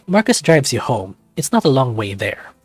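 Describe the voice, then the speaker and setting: A man doing casual commentary and read-aloud narration as host of a let's-read series, with a halting way of talking. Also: mid-range voice, very animated, no room sound. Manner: hesitant, halting when giving own thoughts.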